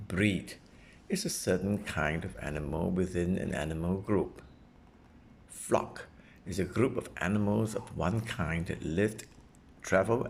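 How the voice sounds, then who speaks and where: A man reading a children's nonfiction book aloud as a parent would, in a deliberate way suited to a young child. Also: deep voice, vocal fry, a little hiss or hum. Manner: deliberate, repeating and simplifying phrases for a child.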